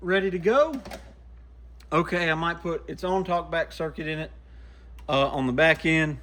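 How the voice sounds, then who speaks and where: A man's voice: on the high side, normal speed, a bit bright, slight background noise. A man giving casual, folksy hands-on commentary to himself as he works at a repair bench, easygoing in manner. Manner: folksy, easygoing, talks to himself while working. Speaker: radio repair shop vlogger. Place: small radio repair workshop, indoors.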